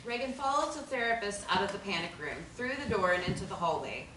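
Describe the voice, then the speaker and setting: Lively woman narrator in an echoey room. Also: mid-range voice, fast. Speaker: stage directions reader. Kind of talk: scripted narration at a play table reading. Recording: echoey room, distant mic, noise.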